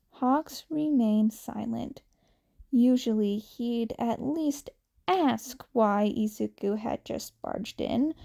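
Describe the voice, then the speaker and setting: A woman's voice: on the high side, vocal fry, dark tone. A woman narrating a fan fiction story as an audiobook reader. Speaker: podfic narrator.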